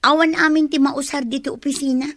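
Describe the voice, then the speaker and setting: A woman's voice: sits high, fairly even intonation, fairly quick, a bit bright, dry recording. A woman with an Ilocano Filipino accent playing a greedy, conniving accomplice in a radio drama.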